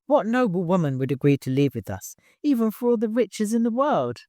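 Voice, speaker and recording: A man's voice: high voice, very animated, a little mellow, no echo, studio quality, pitched above the performer's own voice. A man voicing a reserved, plain-spoken caravan guide in an audiobook, earnest and troubled. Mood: doubtful. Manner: blunt, halting, earnest.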